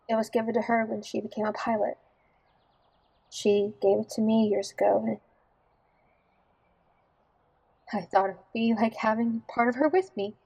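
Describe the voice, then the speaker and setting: A woman playing a down-to-earth, warm-hearted young bride in an audio drama, natural and wry in delivery. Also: a little high, gravelly, breathy edge, low-quality recording. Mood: fond.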